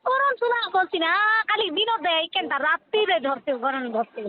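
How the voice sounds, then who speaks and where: A woman chatting casually on a phone call, insistent and repetitive in a quick back-and-forth. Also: very high voice, big pitch swings. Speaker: caller. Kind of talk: casual phone chat in a local dialect. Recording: dry tinny phone line.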